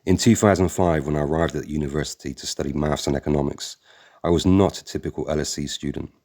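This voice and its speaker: Man with a very deep narrating voice. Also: quick pace, darkish tone. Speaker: audiobook narrator. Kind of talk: scripted memoir narration.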